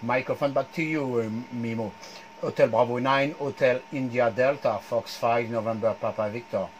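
A middle-aged man with a French accent works as an amateur radio operator, giving call signs in phonetic spelling. His voice sits at an ordinary pitch, with a faint layer of background noise. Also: fairly even intonation, moderate pace, dull audio. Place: small home radio room. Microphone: headset.

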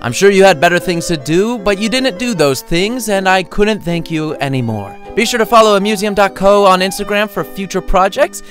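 A man's voice: sits high, moderate pace, few pauses, fairly bright tone, faint music behind. A man reading his own short story as scripted audiobook narration, with a wry, playful storytelling manner.

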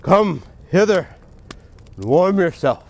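A man in his thirties with a fairly high, swooping voice hams up grave, earnest lines for comic effect. He speaks outdoors near a stadium, close to a handheld mic. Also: very animated, slow pace. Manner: theatrical, gravely earnest delivery played for laughs. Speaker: comedy show co-host. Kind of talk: mock-dramatic comedy sketch and sports show banter. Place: outdoors in snow beside a stadium.

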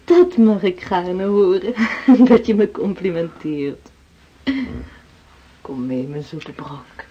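An actress playing a seafarer's doting, curious sweetheart in a radio drama, attentive and coaxing. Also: female voice, fairly low pitch, expressive, slow, warm tone, muffled recording, a little hiss or hum. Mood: tender, seductive.

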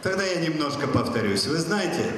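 A man's voice: on the high side, very animated, a little fast, fairly bright tone. A man in his thirties doing a stand-up monologue on stage, chatting directly with the audience over a handheld mic. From Soviet Union. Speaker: stand-up comedian. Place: large stage hall. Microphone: handheld mic, filmed from far. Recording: echoey hall from far off.

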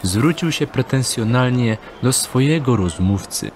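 A man's voice: medium pitch, animated, unhurried, slight background noise. A man reading scripted narration for an audio drama.

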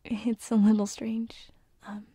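A woman playing a gentle, teasing sleep paralysis demon in a whispered ASMR roleplay, close on a binaural microphone, with a breathy, hesitant delivery. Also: fairly high pitch, monotone, dry recording, full character voice. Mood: sympathetic, soothing. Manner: slow, soothing, hesitant, teasing.

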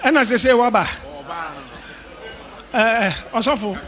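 Animated Ghanaian pastor, high voice. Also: male voice, normal speed, some chatter behind. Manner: animated, joking, shouting at times. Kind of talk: preaching from a church stage, mixing Twi and English. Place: large indoor church hall. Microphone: handheld microphone.

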